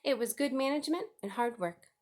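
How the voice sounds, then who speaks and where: A brisk, shameless storybook mother goose, voiced by a woman. She is proud of herself and speaks bluntly, with plenty of emphasis. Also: high voice, animated, pitched above the performer's own voice.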